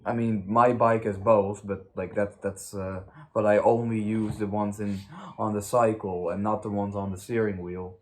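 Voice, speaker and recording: A young man telling anecdotes in casual chat, halting and rambling as he goes. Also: on the low side, level tone, a little hiss or hum. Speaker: host of a story time channel.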